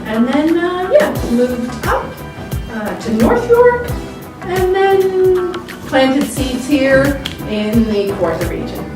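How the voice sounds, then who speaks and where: A middle-aged woman, chatting casually in an interview, laughing often and filling pauses with ums. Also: sits high, sing-song. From Greece, raised in Toronto. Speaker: interviewee, school staff. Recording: echoey room, distant mic, music behind.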